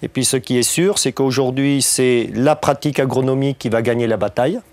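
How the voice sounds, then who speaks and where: A man's voice: mid-range voice, a little fast, darkish tone. A man in his forties with a slight southwestern French accent, giving a training talk to farmers in a meeting room, chatty and full of fillers and tag questions. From southwest France. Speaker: farm trainer. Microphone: lapel mic.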